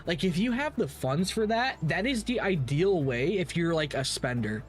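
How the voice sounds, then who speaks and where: A man's voice: a little high, animated, normal speed, faint music behind. A man giving casual livestream commentary on games as the host, rambling and opinionated, with casual swearing.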